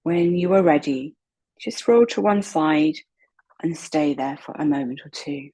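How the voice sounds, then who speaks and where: A woman leading a slow guided meditation in a soft, breathy voice, calm and unhurried, with long pauses. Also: low voice, poor audio. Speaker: guided relaxation meditation instructor.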